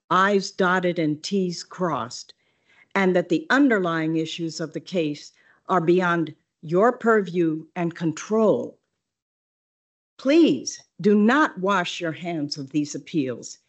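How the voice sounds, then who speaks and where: An older woman speaks with a big, fairly low voice. She pleads and stresses her points with earnest emphasis. Her voice comes through a podium microphone in a meeting room. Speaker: public commenter. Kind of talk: public testimony at a zoning hearing.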